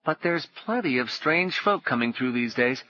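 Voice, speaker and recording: A man's voice: lively intonation, normal speed, sharp tone, dry recording, lo-fi sound. A man voicing a scrappy young boy who talks in cocky slang, bold but frightened. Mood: thoughtful. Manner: high, cocky slang-tinged talk.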